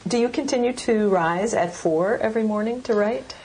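A woman's voice: fairly high pitch, normal speed, background noise. A woman interviewer asking brief, encouraging questions.

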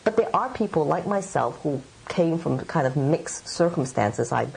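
A woman's voice: low-pitched, expressive, normal speed, vocal fry, darkish tone, slightly rough, poor audio. A middle-aged woman writer from Hong Kong, answering interview questions in a thoughtful, meandering way with many fillers. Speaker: writer and editor.

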